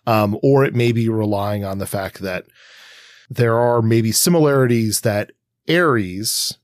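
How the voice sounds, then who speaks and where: A man's voice: lowish voice, measured pace, no echo, clean studio sound. A man co-hosting a podcast, discussing comics analytically in a wordy, think-aloud way. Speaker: podcast co-host.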